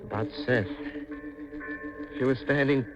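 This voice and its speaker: A man playing a haunted, menacing fisherman in a 1950s radio mystery, speaking in a halting, ominous way with a gravelly voice. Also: medium pitch, music in the background, lightly acted. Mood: obsessed, intense. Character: brooding, haunted, menacing fisherman, a grieving murderer. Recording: noisy, muffled 1950s radio recording.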